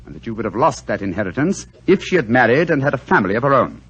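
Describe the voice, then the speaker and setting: A commanding detective. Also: male voice, mid-range voice, sing-song, quick pace, fairly bright tone, lo-fi sound. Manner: crisp, commanding, theatrical. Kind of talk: 1940s radio acting.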